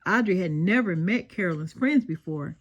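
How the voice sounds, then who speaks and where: An older woman reading a children's story aloud in an expressive storytelling style. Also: fairly low pitch, normal speed, muffled recording.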